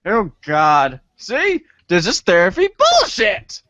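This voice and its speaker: A man playing an excitable, defensive, foul-mouthed teenage boy in amateur reader's theater, exclaiming and swinging from hesitant to indignant. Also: high-pitched, slow pace, no room sound, heavily acted. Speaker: voice actor.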